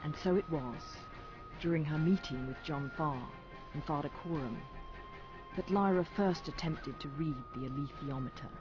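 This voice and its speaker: A man acting as a long-lived storyteller, narrating a young girl's tale in a measured, wistful, literary manner for a game. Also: on the high side, natural voice. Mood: solemn, wistful. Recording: dull audio under loud music.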